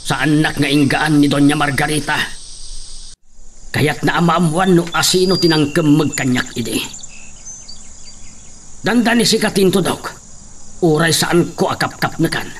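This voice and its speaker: A male actor plays an aggrieved old labouring father in a radio drama. He speaks earnestly with quiet resolve, slightly high in pitch, over faint background noise. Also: normal speed, pauses often, natural voice.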